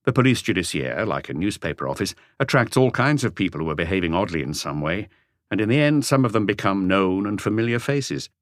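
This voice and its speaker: Male narrator, deep voice, animated intonation, dry close sound, scripted mystery audiobook storytelling. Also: a little fast, no echo.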